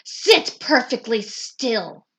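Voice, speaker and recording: A woman voicing a strict, unpleasant substitute teacher from a children's storybook, hissing and snapping slow, loud orders. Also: fairly high pitch, sing-song, heavily acted. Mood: stern. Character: stern nasty female substitute teacher.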